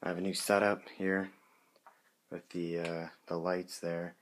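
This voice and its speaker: A man presenting his hobby in a casual, unscripted show-and-tell, halting and correcting himself as he goes. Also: sits low, level tone. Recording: clearly noisy amateur home recording.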